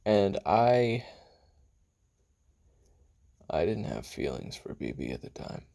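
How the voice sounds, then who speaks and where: A man playing a brooding, hot-tempered assassin in an audio roleplay, recounting his memoirs in a halting, casual, profane confessional with a gravelly, husky voice. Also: low-pitched, sing-song, mellow, heavily acted. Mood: brooding, tense. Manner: halting, casual, profane, with sighs and trailing pauses.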